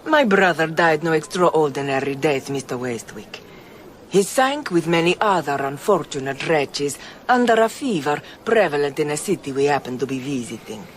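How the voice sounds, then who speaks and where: An actress in a radio drama playing an enigmatic, scheming noblewoman who speaks in a deliberate, theatrical way. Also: sits low, expressive, slight background noise. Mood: brooding, fatalistic. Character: enigmatic, fatalistic foreign noblewoman, scheming and brooding.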